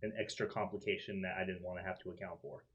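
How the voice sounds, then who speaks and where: A man's voice: sits low, flat delivery, fast talker, fairly bright tone, lo-fi sound. A man playing a tabletop game, speaking in character. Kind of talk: in-character roleplay.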